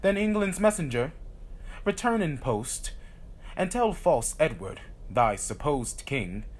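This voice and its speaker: A man's voice: fairly high pitch, very animated, slow pace, full character voice. A man acting an offended, firm French king with declamatory, regal verse delivery in a Shakespearean audio drama. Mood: indignant.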